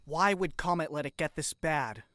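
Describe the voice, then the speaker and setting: A man's voice: fairly high pitch, moderate pace, noisy background, lightly acted. A man acting in an audio drama, playing an earnest young man pleading for others. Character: idealistic young man, troubled by suffering.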